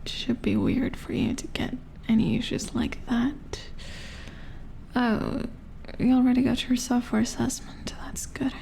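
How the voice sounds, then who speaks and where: Female engineer played by a woman for ASMR robot-repair roleplay; mellow, very creaky whisper, unhurried, mildly curious, thinking aloud. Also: a little high. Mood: calm, musing. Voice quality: breathy whisper with creaky edges. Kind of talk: soft-spoken ASMR roleplay.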